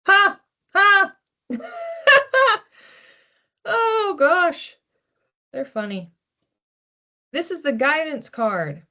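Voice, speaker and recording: A woman hosting an informal psychic card reading in a conversational, reflective way, with animated asides. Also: very high-pitched, extremely slow, long pauses, lo-fi sound. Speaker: psychic card reader, host.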